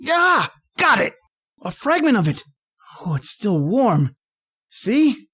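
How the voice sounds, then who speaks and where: A woman's voice: fairly high pitch, takes it slowly, husky, exaggerated voice. A woman acting an eager, dismissive amateur astronomer husband in an old-time radio horror drama, lecturing emphatically. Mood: excited. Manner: emphatic, lecturing, repeats words when excited.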